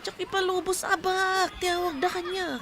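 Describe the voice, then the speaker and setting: Very high-pitched lovestruck Ilocano tomboy. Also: female voice, little pitch movement, moderate pace, background noise. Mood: insistent. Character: lovestruck, insistent tomboy suitor claiming a man's heart. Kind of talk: comedy radio drama acting.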